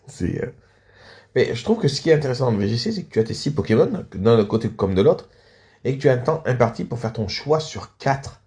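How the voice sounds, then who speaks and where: A man's voice: medium pitch, big pitch swings, fairly quick, darkish tone. A man hosting and casually commentating on video game matches, slightly stuffed up with a cold. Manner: frequent throat clearing and coughing.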